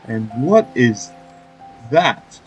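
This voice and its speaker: A man's voice: medium pitch, big pitch swings, very slow. A man giving casual commentary as he plays a video game. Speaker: gamer. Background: game music.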